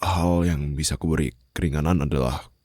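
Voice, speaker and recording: A man acting a stern but caring mafia boss in an ASMR roleplay, speaking formally and haltingly into a close microphone. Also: rumbling low, steady tone, darkish tone, no room sound. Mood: apologetic, hesitant. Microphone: close studio-style microphone, dry.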